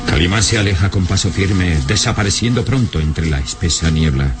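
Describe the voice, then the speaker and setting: A man's voice: low voice, brisk, over loud music, natural voice. A man narrating an adventure radio drama as a solemn storyteller, in a dramatic scripted style. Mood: solemn, suspenseful.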